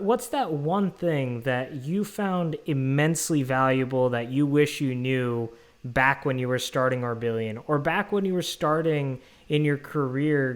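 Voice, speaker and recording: Smooth-voiced man hosting a podcast. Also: medium pitch. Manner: rambling, restarts often. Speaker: podcast host. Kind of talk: interview podcast.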